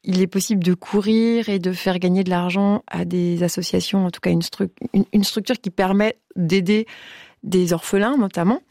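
A woman hosting a radio interview, asking questions and reacting quickly. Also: lowish voice, fairly even intonation, a little mellow, dry recording, clean studio sound. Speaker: radio host.

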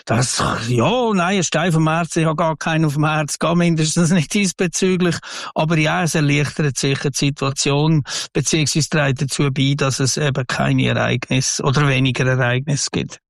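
A man in his sixties with a Swiss accent from the Basel area, a fire inspector answering interview questions calmly, with some hesitations. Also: fairly high pitch, creaky, no echo, studio quality. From northwest Switzerland. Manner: calm, measured, reassuring, with frequent hesitations.

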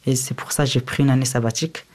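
A man's voice: mid-range voice, steady tone, fast talker, a little mellow. A young adult man who learned French as a second language, giving personal testimony as an interviewee. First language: a language other than French.

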